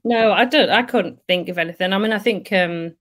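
Lady host, loud and chatty, lots of ums, video call sound. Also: medium pitch, fairly quick. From Britain. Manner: loud, chatty, many fillers and restarts. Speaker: podcast host. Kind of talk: casual live chat on a video call.

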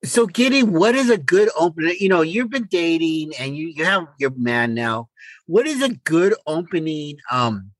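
High-voiced middle-aged man, lively inflection. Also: normal speed, no room sound, rough recording. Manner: inquisitive, prompting with questions. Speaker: podcast co-host. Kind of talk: casual chat.

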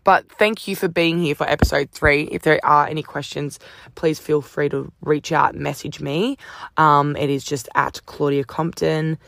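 A woman hosting a casual solo podcast, chatty and upbeat, swearing casually, her voice croaky from talking all day. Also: deep voice, fairly even intonation, moderate pace, a bit bright, no room sound. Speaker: podcast host.

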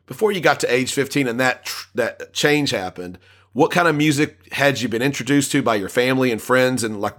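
A man's voice: medium pitch, a bit bright. A man hosting a casual music chat, rambling and restarting his sentences. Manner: rambling, chatty, restarts a lot. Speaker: podcast host.

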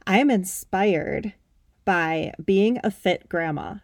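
Woman, 30s, podcast host: emphatic, upbeat pep talk, mid-pitched, dry close sound. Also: slow, no room sound. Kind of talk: motivational solo podcast talk.

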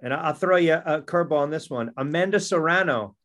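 Higher-voiced man hosting a sports talk. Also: fast talker, fairly bright tone. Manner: conversational, asks leading questions. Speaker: podcast host.

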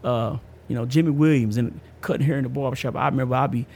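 A man's voice: medium pitch, steady tone, quick pace, a little mellow, breathy edge. A man in his fifties hosting a podcast, giving a casual personal testimony in an emphatic, slangy way, often repeating himself. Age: 50s. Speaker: podcast host.

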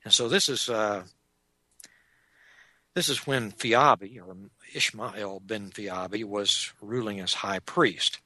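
A man with a Texan accent giving an unscripted lecture on church history, measured and explanatory, often pausing on "uh". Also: on the low side, pushed and bright, no echo, poor audio. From Texas. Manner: measured and explanatory, with frequent "uh" pauses. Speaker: Bible teacher.

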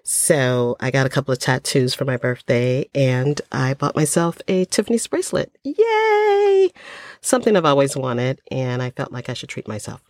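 A woman's voice: rumbling low, very animated, moderate pace, a little mellow, no echo, studio quality. An older woman hosting her own podcast in a casual, folksy chat, a little stuffy from a cold, with plenty of ums. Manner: chatty, folksy, lots of ums. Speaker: podcast host.

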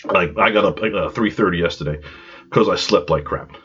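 Guy with a head cold telling a wandering story on his podcast, kinda hoarse and low, muffled lo-fi sound. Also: fairly quick, a bit bright. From Long Island, New York. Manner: rambling, self-deprecating, goes off on tangents. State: congested from a cold. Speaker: podcast host. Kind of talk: casual storytelling monologue. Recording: dull lo-fi home recording.

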